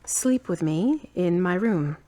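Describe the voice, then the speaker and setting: A woman's voice: on the low side, expressive, slow, dark tone. A woman playing a kind, skeptical, teasing friend in an amateur audio drama, candid and wry.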